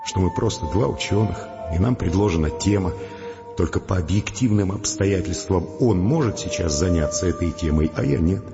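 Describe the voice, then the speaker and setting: A defeated, bitterly reflective scientist with an extremely deep voice. His sombre audio drama delivery rings in long reverb, with music behind it. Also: animated, moderate pace, gravelly, muffled recording. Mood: bitter, brooding. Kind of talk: audio drama acting and first-person narration.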